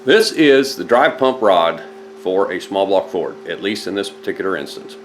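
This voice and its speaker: A man's voice: low-pitched, expressive, normal speed, slight background noise. A man hosting a car rebuild video, talking casually and rambling, correcting himself as he goes and sounding stuffed up from a head cold. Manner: rambling, self-correcting, talks while hunting through boxes. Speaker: home mechanic video host. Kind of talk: casual garage vlog.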